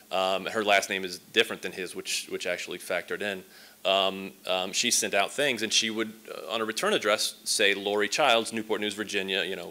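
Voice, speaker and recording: Deep-voiced man giving a conference talk. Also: bright, forceful tone. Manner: conversational, many fillers and restarts. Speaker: speaker at a symposium. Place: large lecture hall, indoors. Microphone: stand mic set at a distance.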